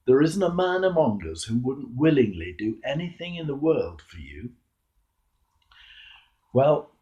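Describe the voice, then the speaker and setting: An older man with a British accent, a clergyman reading a homily aloud in a measured, earnest way with deliberate pauses and emphasis. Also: medium pitch, dark tone.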